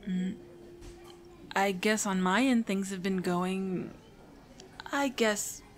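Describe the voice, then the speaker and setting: Woman voicing a nervous young hero trainee, mid-range pitch, stammering, apologetic, anxious rambler, intimate romantic audio roleplay. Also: takes it slowly, close to an everyday voice. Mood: awkward, reassuring. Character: shy, nervous teenage hero-in-training confessing a crush.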